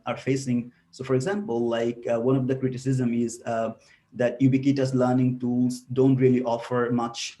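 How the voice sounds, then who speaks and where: Male presenter from Bangladesh, young adult, mellow tone, halting delivery with fillers, video-call mic. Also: mid-range voice. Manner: hesitant, many filler sounds. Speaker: webinar presenter, researcher. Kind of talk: academic presentation. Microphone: computer mic on a video call.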